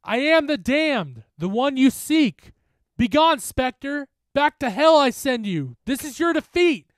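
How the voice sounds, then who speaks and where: Defiant teen boy in a horror tale, played by a man: high, strained shouting, slow emphatic chanting, desperate. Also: sing-song, no echo, full character voice.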